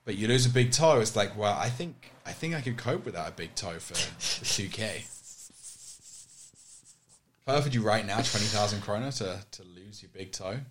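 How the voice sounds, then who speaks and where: A man's voice: lowish voice, animated, normal speed, slight background noise. A young man chatting casually as a podcast co-host, telling stories in a wry, sweary way. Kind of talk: casual chat.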